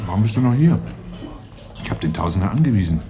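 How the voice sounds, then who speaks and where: A man's voice: lowish voice, sing-song, fast, warm tone, faint music behind. An actor playing a guarded, serious man in a radio play, with a terse, dry and restrained way of talking. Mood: stern, warning. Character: guarded, serious man, weary brother of a convict. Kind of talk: 1980s German radio play acting and narration.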